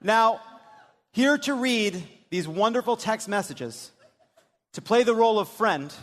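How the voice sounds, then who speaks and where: A man's voice: high-pitched, lively intonation, unhurried, fairly bright tone. A man hosting a live comedy political show on stage, with a wry, emphatic delivery. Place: on stage in a large theater. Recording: big reverberant theater, some noise.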